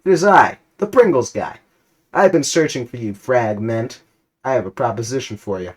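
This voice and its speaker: Dude doing a smug villain voice, big dramatic intro, husky. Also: male voice, mid-range voice, lively intonation, normal speed. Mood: grandiose. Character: smug bald villain in a suit, man.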